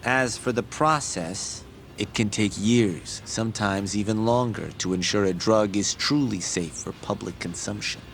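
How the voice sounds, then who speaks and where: An actor playing a patient, earnest medical expert who explains things in a measured, articulate, didactic way in a video game. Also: male voice, fairly low pitch, slight background noise. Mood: earnest explaining. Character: learned male medical expert, patient, earnest, wryly teasing lecturer. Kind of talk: English video game dub, explanatory dialogue. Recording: background music under the voice.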